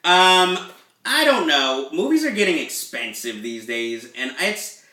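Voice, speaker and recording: Bright-voiced male host, chatty. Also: fairly high pitch, sing-song, unhurried, roomy sound, distant mic. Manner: rambling, self-deprecating, chatty. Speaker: host, film reviewer. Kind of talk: casual chat.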